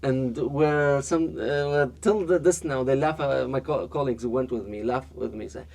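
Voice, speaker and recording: A man from Iraq talking as a podcast guest, pausing often with fillers and restarting his sentences. His pitch sits at an ordinary middle level. He gets lively and animated when he tells a story. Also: creaky. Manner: hesitant, many fillers and restarts, animated when telling stories. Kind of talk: casual interview chat.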